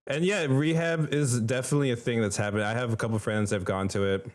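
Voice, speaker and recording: A man's voice: mid-range voice, steady tone, fast talker. A young man from the Philippines chatting casually as a podcast co-host, in an informal, emphatic and slangy way. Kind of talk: casual conversational chat.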